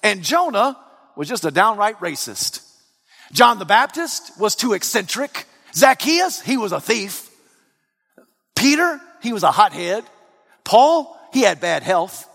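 Male preacher, high and very lively voice, dramatic swings from shouts to hushed tones, echoing hall. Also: a little slow, gravelly, husky. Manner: dramatic, swings from loud excited to low hushed. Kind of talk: sermon. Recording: long reverb of a large hall.

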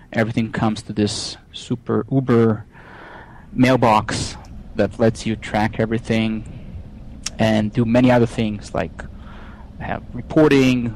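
A man in his thirties from Israel with an Israeli accent, a software developer guest speaking casually with many fillers and restarts. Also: mid-range voice, a little hiss or hum, rough recording. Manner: halting, frequent restarts and fillers. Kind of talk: casual podcast interview.

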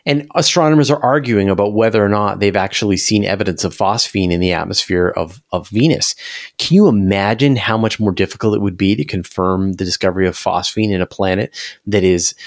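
A man's voice: lowish voice, a little fast, dry recording, clean studio sound. A man talking enthusiastically and emphatically in a conversational science discussion, as an interview guest.

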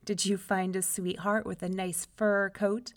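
A woman's voice: mid-range voice, level tone, unhurried, natural voice. A woman voicing a young farm man, a mocking and boastful older brother, in a children's story. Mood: teasing. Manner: taunting, boastful.

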